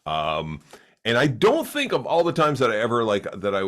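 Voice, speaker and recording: A man's voice: medium pitch, wildly swooping pitch, moderate pace, fairly bright tone. A man who is a stand-up comic, chatting casually as a podcast guest and telling rambling, joking stories with lots of restarts. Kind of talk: casual chat. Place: recorded for a podcast.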